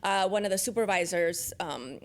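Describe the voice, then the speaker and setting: A woman's voice: fairly low pitch, animated, normal speed. A woman born in Costa Rica and raised in Los Angeles, chatting casually as a talk show guest and telling stories about herself. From born in Costa Rica, grew up in Los Angeles. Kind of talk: casual chat, telling career anecdotes.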